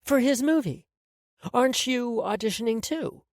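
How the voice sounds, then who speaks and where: A woman voicing a calm, cruel woman who toys with her captives, speaking softly and with quiet menace. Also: on the high side, normal speed, no room sound, studio quality, close to an everyday voice. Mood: mock innocent. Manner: soft, coolly menacing.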